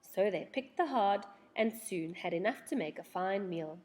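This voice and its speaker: Animated woman reading a children's story. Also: mid-range voice, normal speed. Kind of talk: expressive children's storybook narration.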